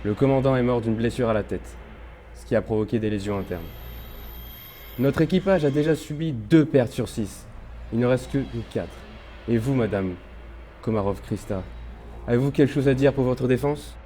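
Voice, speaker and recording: A man's voice: mid-range voice, normal speed, long pauses, mellow, slight background noise, natural voice. A young man playing a stern, formal spaceship officer in a science-fiction audio drama. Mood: grave, formal. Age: young adult. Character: stern male spaceship officer questioning an accused crewmate.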